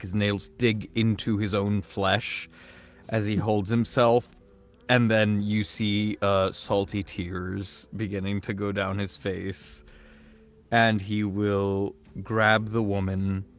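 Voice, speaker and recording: A man playing a tabletop game, describing his character's actions haltingly and repeating words as he improvises. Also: lowish voice, fairly bright tone.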